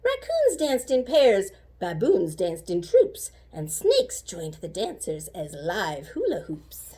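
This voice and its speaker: A woman reading a children's story aloud like a teacher, animated and rhythmic with the rhymes. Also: mid-range voice, slow, slightly rough. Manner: animated, rhythmic rhyming delivery.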